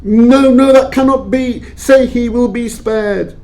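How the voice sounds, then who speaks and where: A man's voice: very high voice, steady tone, slow, darkish tone, full character voice. A man playing an anxious miser who earnestly questions a spirit in an audio play.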